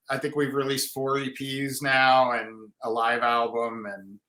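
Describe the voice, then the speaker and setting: A man with a husky voice, a band frontman talking casually in an interview, rambling with many restarts. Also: mid-range voice, sharp tone, reverberant, low-quality recording.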